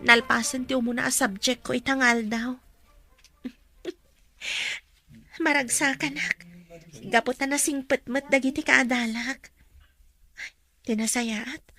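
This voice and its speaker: A woman's voice: on the high side, sharp tone, heavily acted. An actress playing an earnest, polite young woman student in a radio drama, speaking with a Filipino accent. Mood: contented. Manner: polite, deferential. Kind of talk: Ilocano radio drama acting.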